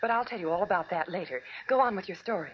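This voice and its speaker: A woman's voice: mid-range voice, animated, fast talker, slight background noise, lightly acted. A woman playing an anxious, tender, deferential wife in a 1940s radio drama. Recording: muffled vintage radio broadcast.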